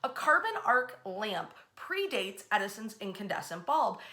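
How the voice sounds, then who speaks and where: Bright, higher-pitched woman telling history stories. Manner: expressive storyteller, addresses the listener directly. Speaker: host of a history storytelling series. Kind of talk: bedtime-story style history narration to viewers.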